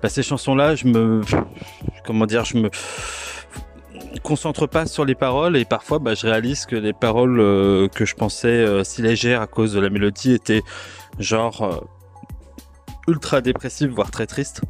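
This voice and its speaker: A man of middle pitch hosts a casual solo podcast, with faint music behind him. He talks in a relaxed, self-mocking way, halting often and restarting his sentences. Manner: hesitant, full of filler sounds and restarts. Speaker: podcast host.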